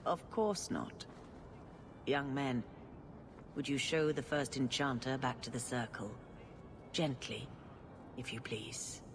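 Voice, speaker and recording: An actress playing a serene elderly female religious leader, speaking in a measured, genteel and gently patronizing way in a fantasy game. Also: extremely low pitch, background noise, heavily acted. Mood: calm, gracious. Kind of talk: dramatic fantasy video game acting.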